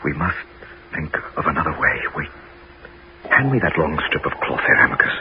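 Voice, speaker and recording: A man's voice: rumbling low, sing-song, fairly quick, exaggerated voice. An actor playing the cunning hero king Ulysses in a 1970s radio drama, with a commanding, theatrical delivery. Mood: scheming. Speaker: narrator and lead character. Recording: dull old radio broadcast with loud hiss.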